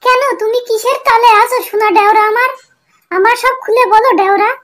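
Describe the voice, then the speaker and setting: Clingy, high-voiced flirty sister-in-law Boishakhi. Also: male voice, steady tone, a little fast, fairly bright tone. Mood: coaxing. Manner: coaxing, insistent, wheedling. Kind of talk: comic cartoon dialogue.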